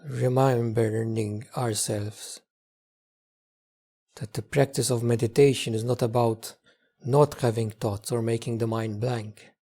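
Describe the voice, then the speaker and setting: A man leading a guided meditation as a teacher, speaking gently in short phrases with long pauses. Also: mid-range voice, steady tone. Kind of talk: guided meditation, slow spoken instruction.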